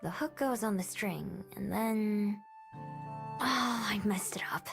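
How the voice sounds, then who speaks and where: A woman's voice: medium pitch, slow, creaky. A woman voicing an eager but unsure young female novice in a visual novel, speaking earnestly and a little hesitantly. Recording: music behind the voice.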